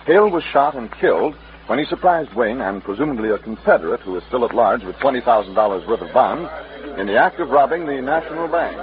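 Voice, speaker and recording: A man's voice: medium pitch, expressive, quick pace, background noise. Tinny old-time radio western announcer, male. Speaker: announcer and narrator. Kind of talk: dramatic 1940s-50s radio western narration.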